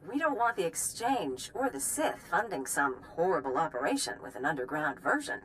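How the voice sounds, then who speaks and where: An actress playing a blunt, driven military research doctor in a video game, brisk and firm. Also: female voice, low voice, sing-song, fairly bright tone, slight background noise, rough recording. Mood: angry, defiant.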